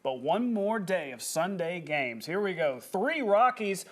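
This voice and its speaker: A man working as a sports anchor, calling highlights in a broadcast style with casual, jokey asides. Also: sing-song, measured pace.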